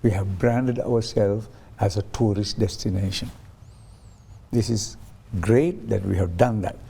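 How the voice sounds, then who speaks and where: A man's voice: on the low side, steady tone, unhurried, vocal fry, warm tone, breathy edge. A man interviewed as a guest on a talk show, explaining his ideas through stories and acting out quoted voices, with frequent restarts. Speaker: guest, science ambassador.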